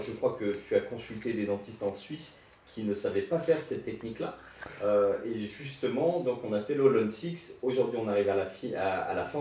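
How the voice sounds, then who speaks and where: Heard from a distance in a very reverberant room with faint noise, a man talks in a dark, mellow voice. He is a clinician interviewing his patient, familiar and conversational. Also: medium pitch.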